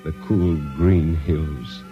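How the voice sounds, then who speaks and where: An actor playing a solemn, measured narrator of a space legend in a 1950s radio drama. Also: male voice, extremely low pitch, fairly even intonation, full character voice. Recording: dull 1950s radio recording. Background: music.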